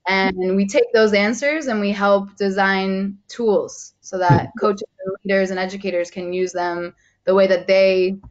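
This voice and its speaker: Woman trainer, earnest and upbeat, mid pitch, lo-fi call audio. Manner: earnest, enthusiastic, many ums and you knows. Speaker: coach and trainer. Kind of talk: online training session presentation.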